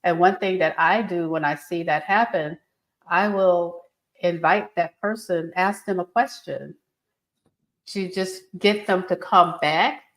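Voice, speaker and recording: A woman's voice: lowish voice, measured pace, a bit bright, echoey room. A woman speaking coach explaining her advice in an earnest, instructive way during an interview. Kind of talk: interview answers.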